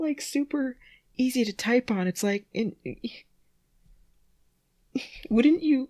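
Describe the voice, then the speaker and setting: A reader of unclear sex with a noticeably creaky, mid-pitched voice. They read prose aloud in the manner of an amateur and comment on it with giggly, incredulous nitpicking. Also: darkish tone. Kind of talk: reading prose aloud with mocking asides.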